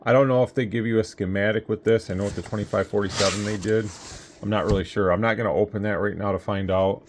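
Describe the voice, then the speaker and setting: A man with a fairly low voice hosts a casual unboxing review. He rambles along, thinking aloud as he goes. It is recorded on a phone, with noticeable noise behind him. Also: fairly even intonation, quick pace. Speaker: product reviewer and host. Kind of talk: casual unboxing review, talking through thoughts. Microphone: phone, handheld.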